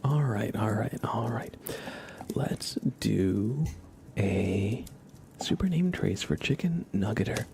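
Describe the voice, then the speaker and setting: A man hosting an ASMR livestream, chatting gently and haltingly to viewers in a soft, whispery murmur. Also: on the low side, lively intonation, vocal fry, background noise. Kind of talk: ASMR, casual chat with stream viewers.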